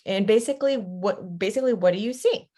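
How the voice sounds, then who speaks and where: A woman's voice: mid-range voice, fairly quick, mellow. Woman presenting a webinar. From Costa Rica. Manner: conversational, checks in often with "right?". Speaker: webinar presenter, teacher educator. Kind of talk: interactive online lecture.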